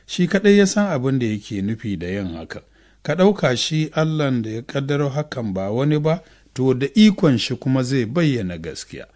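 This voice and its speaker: A man's voice: medium pitch, very animated, a little fast, darkish tone, natural voice. A man voicing a pious, consoling older woman in an audiobook, speaking in earnest exhortation.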